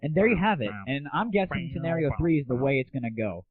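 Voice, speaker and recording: A man's voice: fairly high pitch, sing-song, quick pace, a crowd in the background. A man hosting an internet audio show, delivering a crude, sarcastic and casual comic rant. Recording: narrow, tinny lo-fi internet audio.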